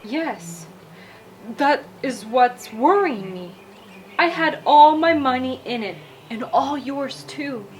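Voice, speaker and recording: Woman playing a fretful storybook dad, high-voiced. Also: lively intonation, slow, darkish tone, slight background noise. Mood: anxious. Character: worried, self-blaming father in a children's story.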